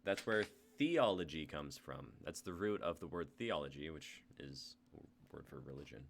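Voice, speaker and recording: A man's voice: very deep voice, lively intonation, moderate pace, creaky, a little hiss or hum. A man hosting a live stream, chatting enthusiastically and hyping up the group. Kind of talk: casual chat.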